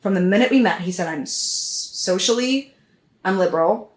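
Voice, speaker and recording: A woman's voice: medium pitch, normal speed. An American woman of about thirty chatting casually and telling personal stories in a rambling, sweary way. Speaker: podcast guest. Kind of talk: casual chat, personal storytelling. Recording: roomy, echoing sound.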